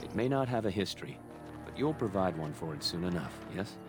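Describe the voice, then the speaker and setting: An actor playing a proud, kindly elven master craftsman in a fantasy game, speaking in a measured, formal storytelling way. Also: low-pitched, lively intonation. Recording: loud music behind the voice. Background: game music.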